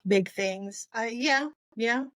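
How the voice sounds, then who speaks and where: A woman's voice: fairly high pitch, slow, a bit bright, no echo, studio quality. A woman chatting casually about books as a co-host, rambling a little and thinking aloud as she goes. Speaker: book podcast co-host. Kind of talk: casual book discussion chat.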